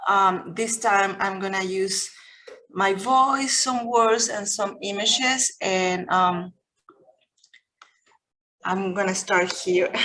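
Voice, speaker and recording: Mexican woman lecturing over a video call, slow and gentle, pauses a lot and restarts, spanish is her first language. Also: mid-range voice, low-quality recording. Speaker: guest lecturer.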